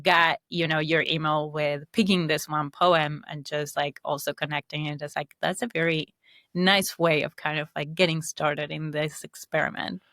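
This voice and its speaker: A woman with an Argentine accent and a notably low voice chats casually about poetry. She talks loosely, with fillers and easy laughter. The sound is dry and close, with no room around it. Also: little pitch movement, normal speed, a bit bright, no echo. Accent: Argentine Spanish. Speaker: podcast co-host. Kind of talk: conversational chat and poetry reading.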